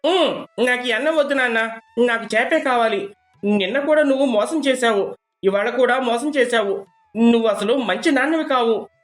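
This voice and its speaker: A woman's voice: on the high side, normal speed, soft background music, lightly acted. A woman voicing a small, demanding child with a whiny manner in a storybook tale. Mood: sulky, whining.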